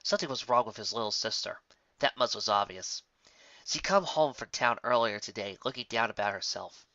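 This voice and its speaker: Male narrator for fan fiction, bright forceful voice, expressive reading, dry but dull home recording. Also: lowish voice, brisk, dry recording. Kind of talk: expressive scripted story narration.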